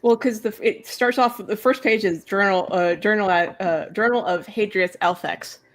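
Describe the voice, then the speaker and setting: A woman's voice: mid-range voice, normal speed. A woman taking part in a casual book discussion over a video call, talking in an informal, chatty way. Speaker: book club participant. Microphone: internet video call.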